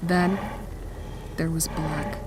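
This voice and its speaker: A woman narrating a scripted horror story in a measured, dramatic manner. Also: sits low, level tone, noisy background.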